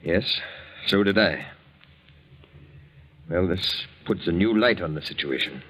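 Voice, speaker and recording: A reserved older husband talks in a very deep voice. His manner is restrained and courteous, in the style of 1940s radio drama. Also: animated, slow, fairly bright tone, exaggerated voice. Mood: subdued, concerned. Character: reserved, polite older married man of the house.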